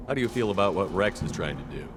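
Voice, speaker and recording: Low-voiced, quick-talking military commander. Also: male voice, expressive, background noise. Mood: curious. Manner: direct, questioning. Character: calm, probing male human military commander. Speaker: video game lead actor. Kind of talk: video game dialogue acting.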